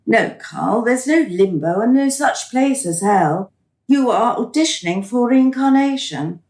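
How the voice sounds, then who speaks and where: An actress playing a cool, smug administrator of a dystopian afterlife in a radio drama, officious and mocking. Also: female voice, a little high, animated, measured pace, darkish tone, roomy sound. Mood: amused, curious.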